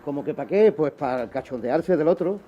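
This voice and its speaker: A man's voice: fairly high pitch, expressive, a little fast, slight background noise. A man arguing bluntly and insistently in a casual radio panel chat. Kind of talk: casual studio discussion. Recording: dull lo-fi radio audio with music.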